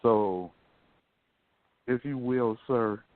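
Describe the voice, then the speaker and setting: A man's voice: medium pitch, very slow, no room sound, phone-quality sound. A man with an American accent hosting a talk show, chatting casually in informal slang. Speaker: radio show host. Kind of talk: casual talk radio chat.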